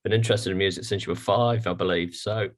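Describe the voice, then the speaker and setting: A man's voice: sits low, steady tone, fast. A man asking casual interview questions in an informal, affirming manner.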